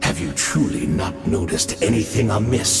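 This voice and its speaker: An actor playing a sinister, contemptuous game villain with a gravelly voice, speaking in a theatrical, mocking way. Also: male voice, on the low side, very animated, normal speed. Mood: mocking, scornful. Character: sinister male Abyss villain, contemptuous and grandiose. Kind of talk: video game voice acting. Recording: echoing voice under loud music.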